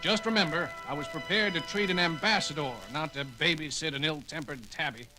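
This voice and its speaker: A cranky ship's doctor, voiced by a man with a fairly high voice that gripes bluntly at everyone. Music sits clearly under his lines in this 1970s record drama. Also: fairly quick, pushed and bright. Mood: grumbling. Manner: grumbling and blunt. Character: gruff irritable male ship's doctor.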